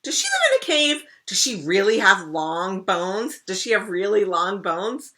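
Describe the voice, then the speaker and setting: A woman reading a storybook aloud, voicing an excitable little girl who fires off endless questions in a squealing voice. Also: on the high side, pitched above the performer's own voice, heavily acted. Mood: eager. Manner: squealing, rapid-fire questions. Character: excitable little girl, pesky youngest sister.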